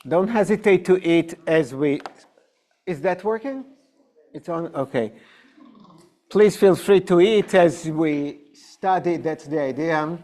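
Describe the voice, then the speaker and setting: A man's voice: on the high side, darkish tone. A man with an Israeli accent, a native Hebrew speaker, teaching an informal Bible class and putting questions to his students. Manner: unhurried, explaining with questions to the class. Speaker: rabbi teaching adult education. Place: synagogue classroom.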